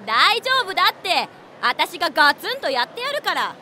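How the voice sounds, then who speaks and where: A feisty anime tomboy witch girl, high bouncy voice, cocky, faint noise. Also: big pitch swings, normal speed, pushed and bright, heavily acted. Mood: fired up. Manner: brash, boyish wording, emphatic. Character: feisty tomboy schoolgirl apprentice witch, hot-blooded, confident. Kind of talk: anime-style voice drama acting.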